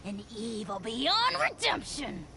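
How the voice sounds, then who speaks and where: A tiny ancient dragon full of pride, declaring its greatness in a high, gravelly voice. Its tone is bright and biting, with wild leaps in pitch. There is faint music behind it. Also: female voice, huge pitch swings, slow pace, full character voice. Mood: scornful. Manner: haughty, indignant proclamations. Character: small boastful ancient dragon, arrogant and shrill.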